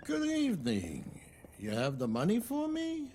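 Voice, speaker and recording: An actor playing a smug, sly loan shark in a video game cutscene, talking slowly and mockingly. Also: male voice, huge pitch swings, darkish tone, a little hiss or hum, heavily acted. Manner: slow, mocking, theatrical, with swooping pitch.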